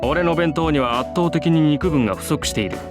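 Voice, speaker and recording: A man's voice: a little high, very fast, over music, close to an everyday voice. A man voicing an earnest, old-fashioned student council president in a visual novel, with stiff, monkish phrasing. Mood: dry, formal.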